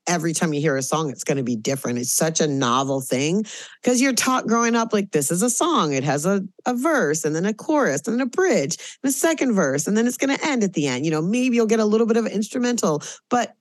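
A woman's voice: mid-range voice, expressive, fast talker, dry recording. A woman hosting a podcast, asking questions in a thoughtful, casual way with frequent "um"s. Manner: thoughtful, warm interviewer with frequent "um"s. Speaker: podcast host. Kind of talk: casual interview.

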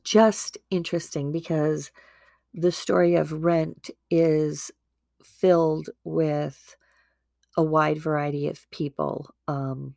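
A woman's voice: low-pitched, steady tone, takes it slowly, vocal fry, darkish tone, dry recording, studio quality. A woman hosting a podcast with a stuffy nose from a starting cold, talking about faith in a chatty, earnest way full of fillers and asides. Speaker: podcast host. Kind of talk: casual devotional talk.

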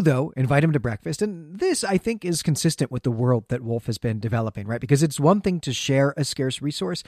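Chicago guy doing a podcast recap, middle pitch, engaged and explainy, close dry mic. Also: darkish tone, no room sound. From Chicago, United States. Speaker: podcast host. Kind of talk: conversational story recap and commentary.

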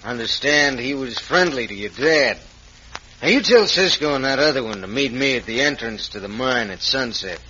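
An actor playing a scheming crooked mine owner in a radio western, with a gruff, folksy American drawl. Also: male voice, mid-range voice, expressive, normal speed, sharp tone. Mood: falsely friendly. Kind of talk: old-time radio western acting. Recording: muffled, noisy vintage radio recording.

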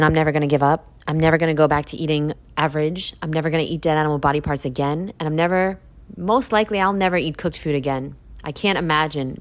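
Blunt, profane podcasting woman in her thirties. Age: 30s. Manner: blunt, profane, preachy, swings into high emphatic peaks. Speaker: podcast host. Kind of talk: casual monologue.

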